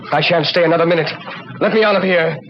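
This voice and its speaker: An actor playing a skeptical, formal doctor unnerved by spirits, in old-time radio horror style. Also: male voice, on the high side, fast talker, gravelly. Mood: panicked. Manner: formal, indignant. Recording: muffled, noisy old radio recording.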